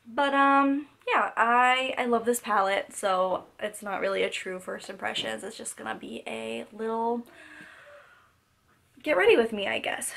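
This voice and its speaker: A young woman vlogger with a voice gone hoarse from a cold. She chats casually and rambles, filling her talk with "like" and "um" and restarting her sentences as she walks through a makeup look. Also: medium pitch, a bit bright. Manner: chatty, rambling, many fillers and restarts. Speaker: beauty vlogger. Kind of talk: casual makeup tutorial chat. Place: home.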